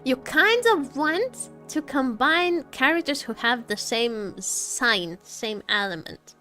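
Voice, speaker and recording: A woman livestreamer chatting casually with viewers about games, halting and jokey, often repeating herself. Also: high voice, lively intonation, sharp tone. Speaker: livestreamer, gaming content creator. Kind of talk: casual live gaming commentary, chatting with viewers. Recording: faint music behind the voice. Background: faint music.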